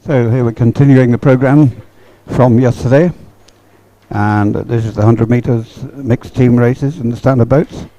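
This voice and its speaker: A man giving live race commentary, explaining things in halting phrases. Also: medium pitch, steady tone, mellow, dull audio.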